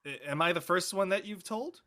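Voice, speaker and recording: A man playing an excitable, loyal high school senior jock, speaking in slangy exclamations in an audio play. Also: fairly quick. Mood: elated, amazed. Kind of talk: naturalistic dialogue in a live-streamed audio play.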